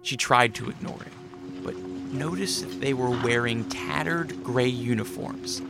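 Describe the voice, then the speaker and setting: A man's voice: medium pitch, animated, unhurried, gravelly, loud music behind the voice. A man reading scripted narration as the narrator of a story.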